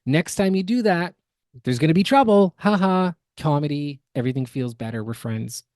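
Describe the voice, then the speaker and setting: A man's voice: fairly high pitch, lively intonation, moderate pace, darkish tone, dry recording, clean studio sound. A man co-hosting a podcast, giving analytical, opinionated reaction commentary with emphatic delivery. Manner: analytical, emphatic, builds word lists. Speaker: podcast co-host.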